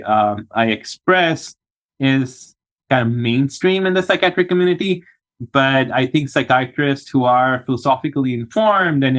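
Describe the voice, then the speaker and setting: A man's voice: medium pitch, fairly bright tone. A man from Pakistan, a psychiatrist guest on a podcast, speaking thoughtfully with frequent hesitations and restarts. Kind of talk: podcast interview.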